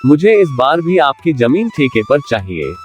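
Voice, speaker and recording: An actor voicing a clever, humble poor farmer in a cartoon, polite and quietly confident. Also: male voice, on the high side, big pitch swings, moderate pace, faint music behind. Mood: calm.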